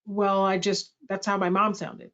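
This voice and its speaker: A woman's voice: mid-range voice, flat delivery, measured pace, fairly bright tone. A middle-aged woman hosting a workshop, speaking in a thoughtful, reflective way and correcting herself as she goes. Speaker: workshop host. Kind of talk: presentation dialogue. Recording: slightly muffled audio.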